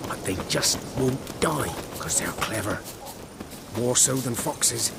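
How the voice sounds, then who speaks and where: An animated rustic village hunter. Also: male voice, mid-range voice, slow pace, gravelly, heavy background noise. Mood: grim, bitter. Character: wary, bitter rustic male village hunter. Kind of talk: video game voice acting.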